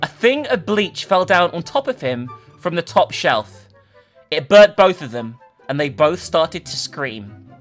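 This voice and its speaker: A woman's voice: low-pitched, big pitch swings, a little slow, faint music behind. A woman giving a theatrical, emphatic dramatic reading of a story, as a host who also comments casually. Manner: theatrical, emphatic, sweary in asides.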